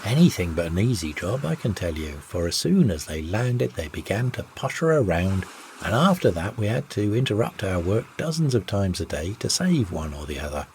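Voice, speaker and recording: A man reading an old sea yarn aloud as a narrator, in an expressive, old-fashioned raconteur's delivery. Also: sits low, normal speed, slight background noise. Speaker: audiobook narrator.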